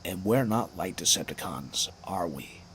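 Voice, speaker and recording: A man's voice: sits low, animated, measured pace, fairly bright tone, slight background noise. A man playing a resolute, persuasive robot civilian leader in an audio drama, earnest and oratorical. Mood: firm, persuasive.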